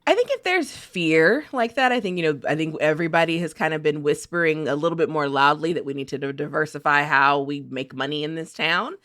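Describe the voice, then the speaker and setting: A woman's voice: deep voice, fairly quick, hardly any pauses, fairly bright tone, no echo, studio quality. An American woman contributing to a podcast, chatting casually and thinking aloud in an informal way. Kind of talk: casual chat.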